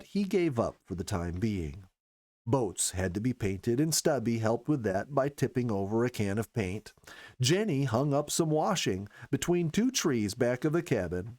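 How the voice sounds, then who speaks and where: A male storyteller reads narrative prose in an earnest, careful way. His mid-pitched voice moves up and down in lively swoops, and the sound is close and dry. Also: no echo. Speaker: storyteller reading a book aloud. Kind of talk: expressive scripted first-person narration.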